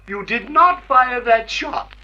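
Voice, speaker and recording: An actor playing a jovial, condescending husband who loves practical jokes, in a theatrical, chuckling 1950s radio drama style. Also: male voice, extremely high pitch, lively intonation, slow pace, sharp tone, exaggerated voice. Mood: dismissive. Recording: muffled vintage radio recording.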